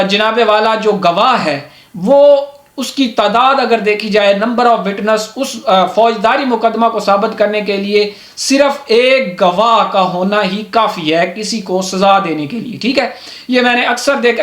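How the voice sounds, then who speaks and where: A man with a Pakistani accent and a notably high voice teaches law in a conversational way. He goes back over his points and checks in often. The room rings with clear echo around his words. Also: a bit bright. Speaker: legal educator. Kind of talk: informal legal explainer. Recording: echoey, reverberant room.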